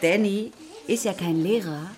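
An actress playing a composed, encouraging school teacher in a children's radio play. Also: female voice, mid-range voice, a little slow, darkish tone, lightly acted. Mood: explaining.

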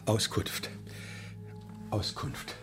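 A man's voice: extremely slow, noisy background. A man playing a terse, grumbling older loner in a German children's radio play. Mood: agitated. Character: grumbling, laconic older man living alone, Herr Burczik.

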